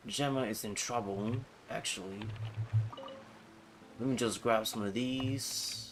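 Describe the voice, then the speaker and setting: A man's voice: lowish voice, slow pace, a little hiss or hum. A man livestreaming a game, chatting casually in laid-back, muttered asides to his viewers. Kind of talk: casual gameplay chat.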